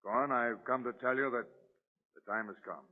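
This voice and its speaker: A man's voice: medium pitch, normal speed, lightly acted. An actor playing a brusque, authoritative male prison warden, curt and commanding, in 1940s radio drama. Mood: stern. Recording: muffled old radio recording.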